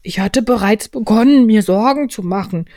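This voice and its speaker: A woman voicing a naive, earnest male toy bear from a storybook, speaking in a ponderous, deliberate manner. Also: a little high, dark tone, no room sound, clean studio sound, pitched above the performer's own voice, close to an everyday voice. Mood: earnest, scheming. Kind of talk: storybook character voice.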